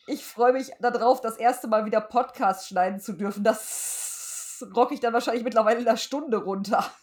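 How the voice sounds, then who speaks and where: A woman's voice: fairly high pitch, normal speed, darkish tone. A woman chatting casually as a podcast co-host, blunt and colloquial in the way she puts things. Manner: blunt, colloquial, salty-tongued. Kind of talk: casual podcast chat reviewing an audio drama.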